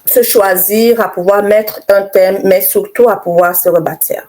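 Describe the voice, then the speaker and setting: A middle-aged woman from Cameroon giving personal testimony in an interview, halting and reflective, repeating phrases for emphasis. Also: mid-range voice, fairly even intonation, a little mellow. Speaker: interview guest, author.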